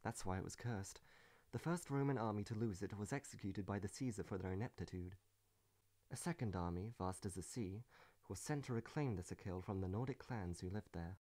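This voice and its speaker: A man's voice: lowish voice, brisk, warm tone, close to an everyday voice. A man voicing a wry, world-weary woman adventurer in a comic dub, with a dry, sardonic delivery. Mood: measured, wry. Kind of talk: comic dub acting with reflective monologues.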